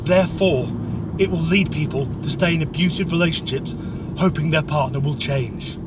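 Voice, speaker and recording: A man's voice: fairly high pitch, moderate pace, heavy background noise. A man with an English accent delivering an opinionated comic rant in a blunt, sweary, sardonic way. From Norwich area, England. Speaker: comic commentator.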